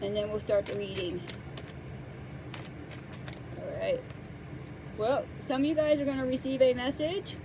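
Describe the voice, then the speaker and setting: A woman with a fairly high voice talks through a tarot reading, soft-spoken and halting. She sits close to the microphone. Steady background noise and passing traffic are heard behind her. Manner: soft-spoken, hesitant with many fillers. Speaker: tarot card reader. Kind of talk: casual tarot reading to camera.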